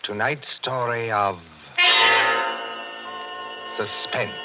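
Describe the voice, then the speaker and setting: A man with an American accent making a measured, ominous scripted announcement in 1940s radio style. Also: medium pitch, fairly even intonation, bright, forceful tone, rough recording. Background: dramatic music.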